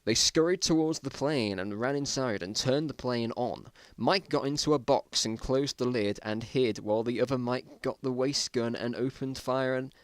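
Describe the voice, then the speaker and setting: A man's voice: mid-range voice, normal speed, few pauses, no room sound. A man giving an amateur dramatic reading of his own old story, with amused, self-mocking asides. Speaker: narrator.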